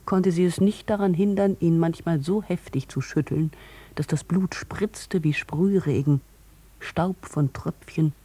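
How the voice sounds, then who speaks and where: A man's voice: fairly high pitch, dark tone, husky, dry recording. A man narrating scripted literary prose for an audio drama.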